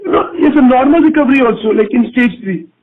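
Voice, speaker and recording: A man's voice: very high voice, fast talker. A man, a polite and deferential analyst asking questions on an earnings call. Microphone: phone line. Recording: tinny phone conference line.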